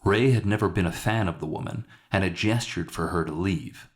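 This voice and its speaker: A man reading a dramatic short story as narrator, in a somber and measured way. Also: deep voice. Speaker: author narrating his own short story.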